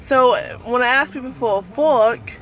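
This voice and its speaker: A woman's voice: a little high, normal speed, highs cut off. A young woman with a speech impediment telling a childhood story casually to camera, rambling with many fillers and restarts. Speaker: vlogger.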